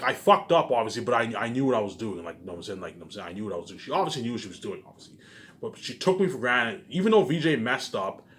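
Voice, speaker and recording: A man talking in a casual, rambling monologue, halting and repeating himself, often swearing and tagging statements with "obviously". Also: lowish voice, fairly bright tone. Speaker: solo video host. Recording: noticeable background noise.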